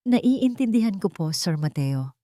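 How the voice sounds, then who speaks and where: A humble young male driver from an audio romance, acted by a woman narrator. He is polite, composed and earnest, with lively movement in his pitch. The recording is very dry. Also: a little slow, no room sound. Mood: restrained. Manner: deferential, earnest.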